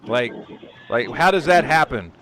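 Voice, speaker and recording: Rambling North Texas man, lively pitch. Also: measured pace, slight background noise. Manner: rambling, lots of fillers and restarts. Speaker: podcast host. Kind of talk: casual podcast chat.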